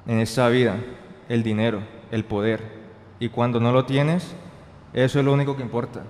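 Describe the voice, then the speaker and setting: A proud young brother in a radio play, acted by a man, impatient and dismissive. His mid-pitched voice reads a little stiffly in a reverberant space. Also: little pitch movement, moderate pace, darkish tone, natural voice. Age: young adult. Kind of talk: amateur radio drama acting. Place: indoor green-screen studio. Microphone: handheld dynamic microphone held close. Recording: reverberant hall-like echo.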